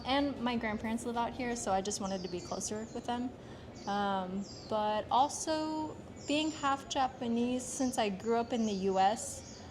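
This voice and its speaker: A woman's voice: fairly high pitch, background noise. A young American woman answering interview questions casually, with many fillers and hesitant phrasing. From United States. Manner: soft-spoken, filler-heavy, hesitant phrasing. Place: outdoors on a street in Japan.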